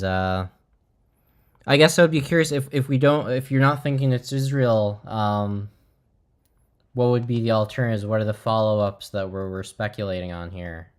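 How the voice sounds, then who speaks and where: A man hosting a livestream with casual live commentary, speaking in a measured, hesitant way. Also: lowish voice, darkish tone, clean tone. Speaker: livestream host.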